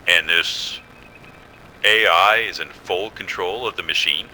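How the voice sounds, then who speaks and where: A man's voice: deep voice, a little slow, sharp tone, a little hiss or hum, full character voice, poor audio. A man playing a stern, skeptical military general in an audio drama, blunt and questioning.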